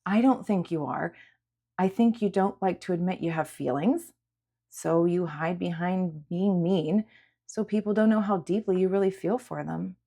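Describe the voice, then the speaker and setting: A woman voicing a caring, patient woman who reassures with gentle insistence in a dramatic audiobook. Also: fairly low pitch, normal speed, vocal fry. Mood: reassuring. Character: caring, patient woman comforting an upset girl. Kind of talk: dramatic audiobook character acting.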